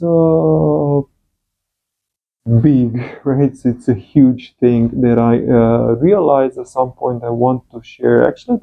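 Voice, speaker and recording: A man with a Romanian accent introduces his channel, halting often and filling gaps with soft fillers. His voice has a gravelly fry, and he speaks slowly. The sound is thin and phone-like. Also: medium pitch. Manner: slow and halting, many uh fillers. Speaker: channel host, calligraphy teacher. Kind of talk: hesitant personal introduction.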